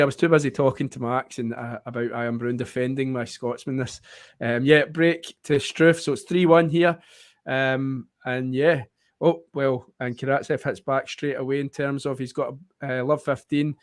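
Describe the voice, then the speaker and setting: A Scottish man with a mid-range voice calls tennis points live, moving between quick play-by-play and easy banter with his co-commentator. He sounds close and dry on the mic, with no room around him. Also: mid-range voice, fairly even intonation, no echo. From Scotland.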